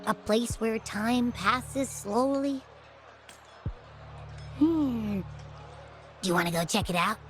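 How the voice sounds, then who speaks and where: A bouncy, high little creature. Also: female voice, slight background noise. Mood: wary. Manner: bouncy, teasing, quick to tangents. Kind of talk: video game voice acting. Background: game music.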